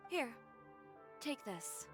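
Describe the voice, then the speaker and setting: A woman's voice: on the high side, sing-song, extremely slow, exaggerated voice. An actress playing a confident, blunt young woman fashion designer in a video game, composed and dry. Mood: sincere, composed. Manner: composed, dry, self-assured. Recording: music behind the voice. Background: game music.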